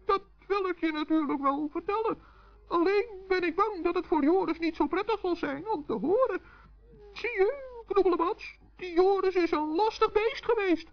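A kindly, worried little gnome in a children's radio play. He talks earnestly in a very high, tiny voice, always trying to smooth things over. The recording is old and dull. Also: moderate pace. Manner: earnest, fretful, conciliatory.

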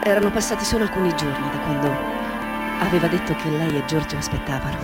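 A woman playing an older mother in a radio drama monologue, wistful and halting as she remembers, questioning and correcting herself. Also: sits low, close to an everyday voice. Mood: wistful, tender. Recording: music under the voice.

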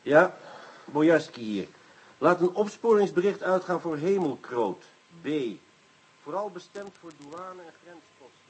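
An actor playing a brisk, wry police inspector in a radio play, businesslike and quick to interject. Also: male voice, fairly high pitch, lively intonation, slightly rough, rough recording. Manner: businesslike, repeats himself, interjects.

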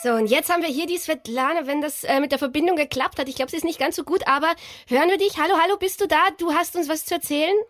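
A woman's voice: sits high, quick pace, hardly any pauses, fairly bright tone. An actress playing a polite, flustered radio host in a radio play, hesitant and placating as she conducts an interview, with music behind her. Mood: polite, probing. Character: polite, flustered female radio presenter trying to end a call.

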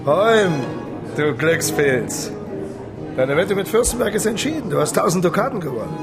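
A man's voice: high-pitched, big pitch swings, normal speed, over loud music. An actor playing a jovial, flirtatious king at a baroque court in a radio drama, speaking in a grand, theatrical way. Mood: jovial, boastful.

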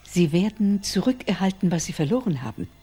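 An actress playing a portentous, soothing fortune teller in a radio crime play, with mystical, drawn-out delivery. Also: female voice, on the low side, big pitch swings, warm tone, slightly rough. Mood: soothing, mysterious. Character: theatrical, soothing female fortune teller reading cards.

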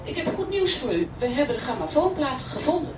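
A woman playing a brisk, polite airline purser in an absurdist radio play. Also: high voice, sing-song, heavy background noise. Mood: politely announcing.

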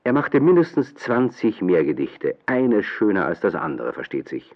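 A man's voice: lowish voice, very animated, moderate pace. A man narrating a children's radio play, reading a scripted story. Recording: dull, lo-fi radio play recording.

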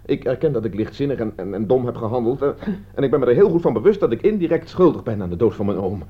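A man's voice: mid-range voice, expressive, quick pace, breathy edge. A man acting in a radio play as a cornered young heir, defensive, protesting and flippantly mocking. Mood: scoffing, defensive. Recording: dull lo-fi radio recording.

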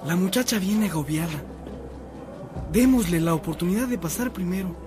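A man's voice: high-pitched, animated, moderate pace. An actor playing a kindly, obliging townsman in a radio drama. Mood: persuading. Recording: music behind the voice.

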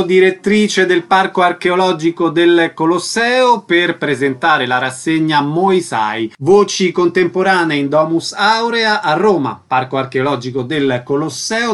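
Higher-pitched male radio presenter. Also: a bit bright. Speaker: radio interviewer. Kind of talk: scripted radio presenting and short questions.

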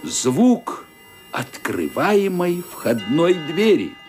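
A man narrating an audio drama as a scripted story, with suspenseful, deliberate, expressive phrasing. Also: fairly high pitch. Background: music.

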